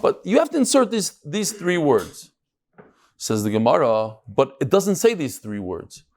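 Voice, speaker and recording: A man's voice: fairly high pitch, big pitch swings, a little slow, darkish tone. A man giving an informal Talmud class, conversational and emphatic, mixing in Hebrew terms and rhetorical questions.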